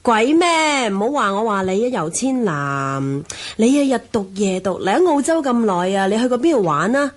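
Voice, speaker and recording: Lively, bossy party-girl student. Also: medium pitch, normal speed. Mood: teasing. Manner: exclamatory, bossy, teasing. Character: pushy, outgoing, party-loving young female student roommate. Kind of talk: Cantonese radio drama acting.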